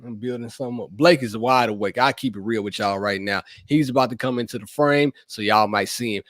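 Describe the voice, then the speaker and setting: A man's voice: medium pitch, a little fast, fairly bright tone, dry recording, studio quality. A young man hosting a podcast with his wife, joking and hyping in playful slangy banter. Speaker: podcast host. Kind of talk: casual chat with spouse.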